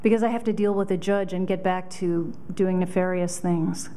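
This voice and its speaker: A woman's voice: lowish voice, steady tone, moderate pace, warm tone, slight background noise. A woman answering a coach briefly as a student in a workshop. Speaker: voice-over student. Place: hotel conference room, at a standing mic.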